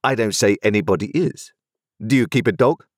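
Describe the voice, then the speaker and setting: A man's voice: fairly low pitch, lively intonation, fairly quick, dry recording, studio quality, voice pushed up. A man voicing a brusque, overbearing middle-aged male lawyer in an audiobook, speaking in a clipped, formal, cross-examining way. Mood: stern, formal. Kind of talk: audiobook character voice.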